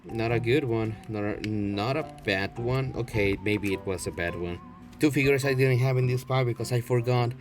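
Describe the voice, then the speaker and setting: A man's voice: mid-range voice, moderate pace, over music. A man giving an unscripted, casual show-and-tell as a video blogger, rambling and prone to swearing. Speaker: video blogger showing a toy collection.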